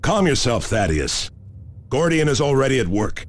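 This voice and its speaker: An actor voicing a solemn, commanding space marine leader in a video game, weighty and authoritative. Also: male voice, medium pitch, sing-song, moderate pace, close to an everyday voice. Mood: calm. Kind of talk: video game cutscene acting.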